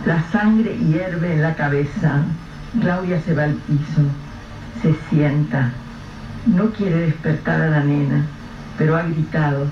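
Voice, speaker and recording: A man giving measured, literary narration for a radio drama. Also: on the high side. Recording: distant, roomy, dull, noisy radio-play recording.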